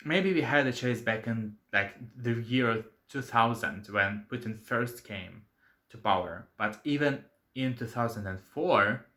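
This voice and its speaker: A man's voice: mid-range voice, measured pace, bright, forceful tone. A young man from Russia with a Russian accent, speaking English as a second language in a casual opinion vlog, earnest and full of fillers and self-corrections. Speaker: channel host.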